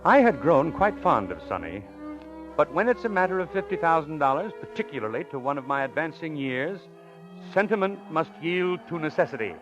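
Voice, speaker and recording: A man's voice: fairly high pitch, sing-song, brisk, dull audio, music in the background. An actor playing a genteel older con-man professor in an old radio suspense drama, avuncular and wordy, given to flustered stammering. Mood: grave confession.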